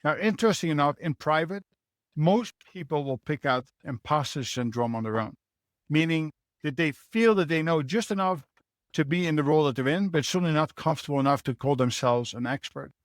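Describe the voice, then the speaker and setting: A man's voice: a little high, animated, brisk, no echo, studio quality. Dutch-accented man explaining earnestly. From Netherlands. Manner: earnest, explanatory, uses rhetorical "right?". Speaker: guest, leadership mentor. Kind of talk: podcast interview answers.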